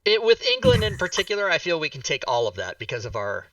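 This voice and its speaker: A man's voice: on the high side, wildly swooping pitch, fast, vocal fry, a bit bright, no echo, far from the mic. An American man hosting a casual livestream, chatting in an opinionated, joking and sometimes profane way. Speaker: livestream host.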